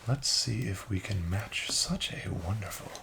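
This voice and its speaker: A soft-spoken man doing an ASMR roleplay as a friendly, courteous casino dealer, speaking in a formal, measured and encouraging way. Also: fairly low pitch, expressive, vocal fry, noisy background. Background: card shuffling and clicks.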